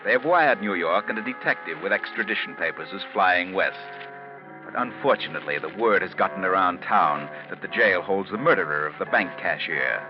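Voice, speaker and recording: Very deep ominous male radio narrator. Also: expressive, fairly quick. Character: ominous male storyteller of a suspense tale. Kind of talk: 1940s radio scripted narration. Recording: dull vintage radio recording with music.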